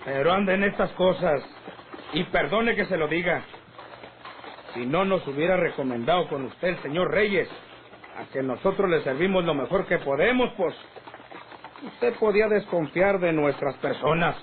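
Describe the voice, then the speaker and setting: A man acts an earnest country gunman in a radio drama, deferential and insistent. His voice is on the high side, heard through a narrow, slightly noisy old recording. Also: animated, normal speed, lightly acted. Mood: placating. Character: insistent, placating rural hired gunman. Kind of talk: Mexican rural radio drama acting.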